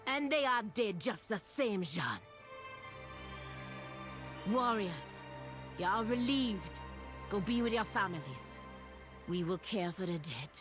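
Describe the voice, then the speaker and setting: Stern troll matriarch, video game: commanding, solemn, mid-pitched, clear music underneath. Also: female voice, lively intonation, takes it slowly, long pauses. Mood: grieving, reproachful. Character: stern, grieving female troll leader, weary elder sister. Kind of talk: dramatic video game acting.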